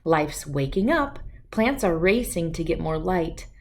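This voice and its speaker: A woman storyteller reads aloud for children at an unhurried pace. Her pitch moves freely up and down, and she phrases each line deliberately. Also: very animated. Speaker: children's book reader and host.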